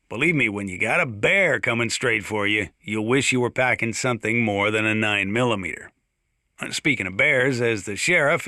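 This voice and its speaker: An actor playing a gruff, plain-spoken old small-town mountain sheriff with an American accent, talking over the radio in a video game. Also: male voice, medium pitch, sharp tone, dry recording, clean studio sound. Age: older. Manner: folksy, plain-spoken, drawn-out hesitations. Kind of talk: in-game radio broadcast monologue.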